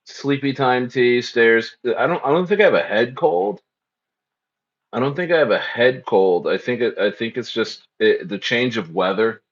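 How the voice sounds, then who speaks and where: A man's voice: mid-range voice, moderate pace, muffled recording. Rambling man hosting a crypto stream. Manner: loose and repetitive, echoes phrases, reads chat aloud. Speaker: crypto livestream host. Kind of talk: casual livestream chat.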